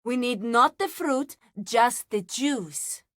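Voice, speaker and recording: A woman playing a solemn, zealous nature priestess in a fantasy video game, speaking in a formal, deliberate, theatrical way. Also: a little high, animated, dry recording, studio quality. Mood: wry, instructive.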